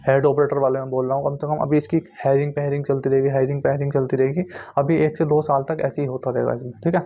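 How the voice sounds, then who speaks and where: A man's voice: mid-range voice, flat delivery, quick pace, mellow. A man giving an informal explanatory update to viewers, repeating his points insistently and checking in often. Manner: repetitive, insistent explaining with frequent check-in tags. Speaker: YouTube host giving exam recruitment updates. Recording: muffled lo-fi recording.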